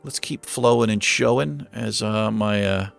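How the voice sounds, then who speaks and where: A man casually commenting on his city-building game while he plays, thinking aloud with lots of fillers, his voice going hoarse. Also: fairly low pitch, measured pace, faint music behind. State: losing his voice. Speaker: gaming channel host.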